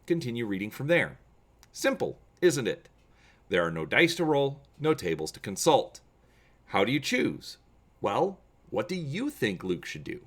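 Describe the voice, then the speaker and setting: A man hosting a gaming channel, explaining to viewers and reading aloud dramatically with expressive emphasis. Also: medium pitch, measured pace.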